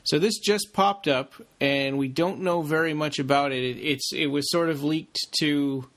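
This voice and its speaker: A man with a moderately high voice chats as a podcast co-host. His talk is casual and a little halting, with pauses to find the next word. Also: fairly bright tone.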